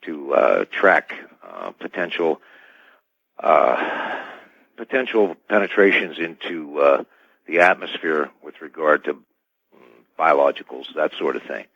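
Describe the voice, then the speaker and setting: An American man speaking as an expert guest in a radio interview, with a halting, hedging delivery. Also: very deep voice, vocal fry, slightly rough, dry recording. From United States. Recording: dull band-limited radio line.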